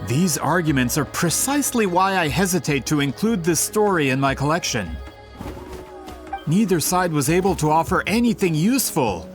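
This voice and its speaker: A man's voice: a little high, big pitch swings, moderate pace, faint music behind. A man voicing a polite, worried book publisher in a video game, courteous and formal. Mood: fretful.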